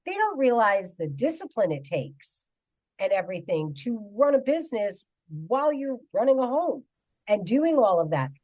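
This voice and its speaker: A woman's voice: fairly high pitch, sing-song, moderate pace, darkish tone, dry recording, poor audio. A woman in her fifties hosts a radio talk show with emphatic enthusiasm. Her voice is recorded close and dry, with no room sound around it. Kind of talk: radio interview hosting.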